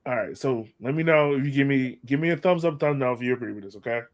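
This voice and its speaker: A man's voice: medium pitch, quick pace. A man hosting a sports podcast, giving casual fan commentary with playful, acted-out banter.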